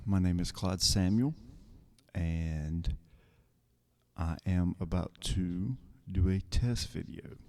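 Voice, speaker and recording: A man with a soothing voice, talking softly and slowly as he reads song lyrics for ASMR. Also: low voice. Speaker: ASMR creator. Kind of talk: soft-spoken ASMR reading of song lyrics.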